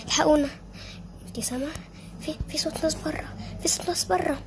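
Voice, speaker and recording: A young performer voicing a calm older sister who reassures her sibling in a hushed, half-whispered Egyptian voice. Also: high voice, fast, background noise. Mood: soothing. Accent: Egyptian Arabic.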